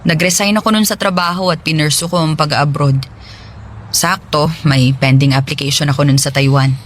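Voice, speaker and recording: A woman's voice: low voice, steady tone, moderate pace, slight background noise, natural voice. An actress playing a forgiving, modest woman talking with an old friend in a radio drama. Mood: awkward, reflective.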